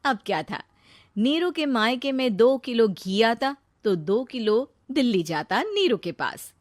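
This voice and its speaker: Woman storyteller, fairly high voice, brisk narration, dry close mic. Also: very animated, no room sound.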